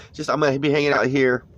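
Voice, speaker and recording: A man's voice: mid-range voice, level tone, fairly quick, highs cut off. A laid-back American man with a stuffy nose, chatting casually on a live morning stream from his phone inside an old camper van. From United States.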